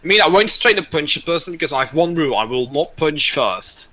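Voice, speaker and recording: A man's voice: fairly high pitch, very animated, fast, bright, forceful tone. A man chatting casually on a stream in a blunt, rambling, sweary way. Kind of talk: casual chat.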